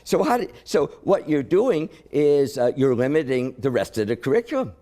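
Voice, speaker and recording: Mellow, animated American male politician. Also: a little high, moderate pace. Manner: emphatic, repeats words. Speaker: state senator. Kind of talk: interview, critical argument.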